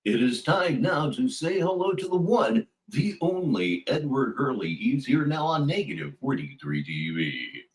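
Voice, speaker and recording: Animated male voice, slow deliberate pace, theatrical, sarcastic, showman-style mocking commentary. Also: medium pitch, low-quality recording.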